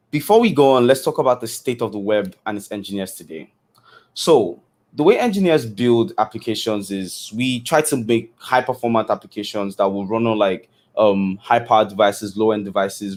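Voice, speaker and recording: A man's voice: fairly low pitch, normal speed, a little mellow. A man from Nigeria giving a casual keynote on a video call, loose and full of fillers. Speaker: keynote speaker. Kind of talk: online conference talk.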